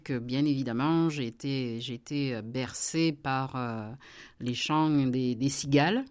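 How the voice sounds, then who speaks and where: A middle-aged woman with a notably low voice. She answers interview questions about her life, hesitating often and restarting her sentences. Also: unhurried, clear, resonant tone. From southern France. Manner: hesitant, many fillers and restarts, warm laughs. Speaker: member of parliament, interview guest. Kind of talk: relaxed personal interview.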